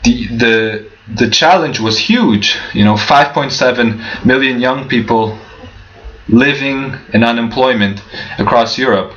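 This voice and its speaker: Young man, keynote speaker, earnest and mid-pitched, over a muffled low-fi livestream. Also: unhurried, a bit bright. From Canada. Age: young adult. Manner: earnest, with frequent restarts and rhetorical questions. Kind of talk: prepared keynote speech. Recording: dull lo-fi livestream audio.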